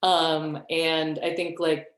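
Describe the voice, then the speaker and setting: Low-pitched woman in an echoey room. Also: flat delivery, takes it slowly. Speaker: theater director on a talkback panel. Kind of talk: casual panel reflection.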